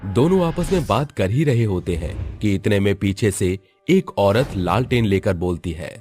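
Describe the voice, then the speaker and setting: A man narrating a spooky storybook cartoon, his voice fairly low. His pitch swings widely as he tells the tale. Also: expressive, a little slow, darkish tone, faint music behind.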